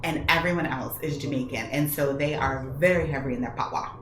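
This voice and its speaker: This is an American woman with a low voice, telling stories in casual conversation. She is animated and emphatic, slipping into quoted remarks as she goes. The recording is roomy and echoey, with clear noise behind her. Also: brisk. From United States. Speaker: radio show guest, cultural responsiveness trainer. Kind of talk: conversational storytelling and discussion. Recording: roomy echo, loud background noise.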